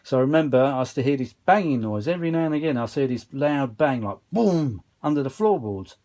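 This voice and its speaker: A man presents a hobby demonstration from an indoor workbench, unscripted and informal. His voice sits at a middling pitch. He rambles along with open enthusiasm, chatting to the viewer from behind the camera. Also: expressive, quick pace, darkish tone. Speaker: vintage audio hobbyist presenter. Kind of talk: casual unscripted chat while demonstrating a machine.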